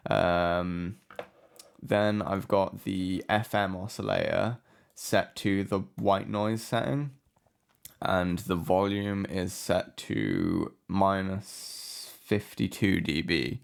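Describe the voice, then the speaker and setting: Man, tutorial host, slow delivery, creaky voice, hesitant, unscripted, casual. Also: low voice, fairly even intonation. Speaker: music production tutorial host. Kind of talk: casual screen-recorded software tutorial. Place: indoor room with a window.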